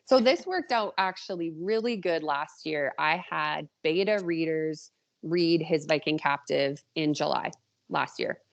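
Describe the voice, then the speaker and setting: A woman's voice: deep voice, measured pace, creaky. A woman, around her thirties, chatting casually and animatedly as an author being interviewed on a podcast, with a self-deprecating manner. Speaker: podcast guest, indie author.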